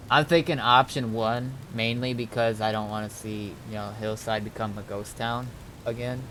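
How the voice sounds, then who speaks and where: A man talking casually on a game stream, thinking things through aloud in a halting, deliberating way. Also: on the low side, fairly even intonation, a bit bright, a little hiss or hum, rough recording. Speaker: streamer and roleplay gamer.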